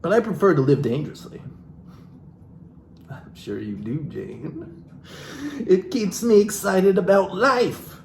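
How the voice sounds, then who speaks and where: A man with a highish, very animated voice reads a book aloud with a theatrical, playful touch. He drops in wry, off-the-cuff commentary as he goes. The room rings with echo around him. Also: takes it slowly, creaky, long pauses. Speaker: comedic reader and commentator. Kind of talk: reading a self-help book aloud with joking ad-libbed asides. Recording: echoey room with background hiss.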